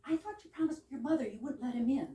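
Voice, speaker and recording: A woman's voice: sits high, fast, mellow, lightly acted. A woman playing a playful, teasing younger sister in an amateur staged reading of a 1920s comedy, breezy and mock-grand. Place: live reading in a roomy indoor space. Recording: echoey room, distant mic, background noise.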